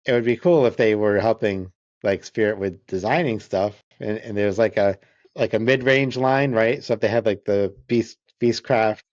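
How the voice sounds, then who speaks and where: A middle-aged man hosting a casual chat, upbeat and joking, talking in quick bursts. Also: fairly low pitch, a little mellow, no room sound. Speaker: host. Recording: dry, muffled sound.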